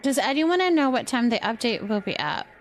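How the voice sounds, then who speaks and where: A woman chatting casually on a livestream as host, musing indecisively out loud while she decorates. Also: on the high side, big pitch swings, normal speed, a little hiss or hum.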